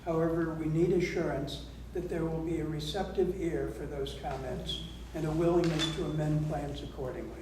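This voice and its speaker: A man on a town council, reporting and reading out correspondence in a measured, formal way at a public meeting. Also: on the high side, steady tone. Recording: echoey meeting hall, distant mic, noisy.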